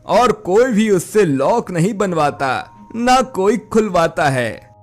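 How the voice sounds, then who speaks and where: A man reading scripted narration for an animated moral story as its storyteller. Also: sits high.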